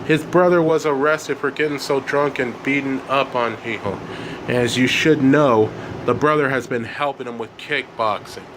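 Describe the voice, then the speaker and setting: A man voicing an evil, smug, lying vice principal in a story, taunting and deliberate. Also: medium pitch, background noise, lightly acted. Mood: accusing.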